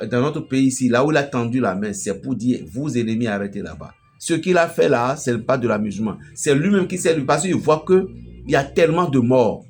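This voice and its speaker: A man from Benin with a Beninese French accent, preaching an emphatic, storytelling message to a fellow pastor. His voice swells loudly at the climaxes. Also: mid-range voice, breathy edge. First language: a local Beninese language.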